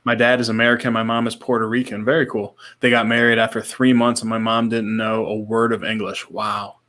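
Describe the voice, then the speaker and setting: Low-pitched man in casual podcast chat. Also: flat delivery, brisk, fairly bright tone. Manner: laid-back, slangy, repeats short reactions. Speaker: podcast co-host. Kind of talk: casual chat, reading viewer comments.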